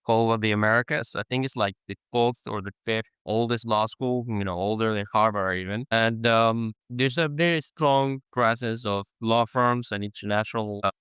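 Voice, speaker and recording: Smooth-voiced man, relaxed guest. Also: on the low side, moderate pace, hardly any pauses, no echo. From Argentina. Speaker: guest lawyer. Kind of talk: casual interview talk.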